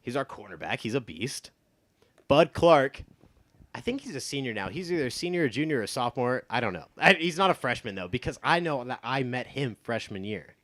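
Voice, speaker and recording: A man's voice: medium pitch, animated, brisk, a bit bright. A man in his twenties with an American accent, chatting casually about sports as a show guest, emphatic, opinionated and loose with swearing. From United States, born in Texas and from Iowa.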